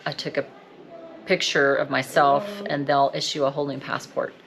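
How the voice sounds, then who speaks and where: A woman vlogger speaking candidly to camera, upset and anxious, with hesitant pauses. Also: extremely low pitch, little pitch movement, vocal fry, a crowd in the background. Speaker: travel vlogger. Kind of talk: candid vlog talk to camera.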